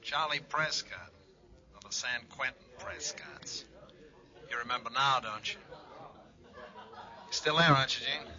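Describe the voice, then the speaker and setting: A lo-fi telephone-filtered radio voice: an American ex-convict with a very sharp, forceful tone. He is smooth, sly and taunting, drawing out his phrases. It has the style of a 1940s radio crime play. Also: mid-range voice. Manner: sly, teasing, drawn-out. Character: taunting, smooth ex-convict calling an old flame. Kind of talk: 1940s radio drama acting. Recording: dull, thin old radio phone-call sound.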